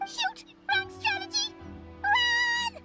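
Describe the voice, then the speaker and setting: A woman's voice: very high-pitched, fairly even intonation, takes it slowly, loud music behind the voice. A woman voicing a small, childlike floating fairy companion in a video game, dramatic and boastful, talking about herself in the third person. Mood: indignant. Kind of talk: animated video game voice acting.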